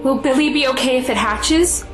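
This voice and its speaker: A woman's voice: high voice, moderate pace, music in the background, lightly acted. A woman playing an anxious, protective mother in an audio drama, speaking in a worried, pleading way.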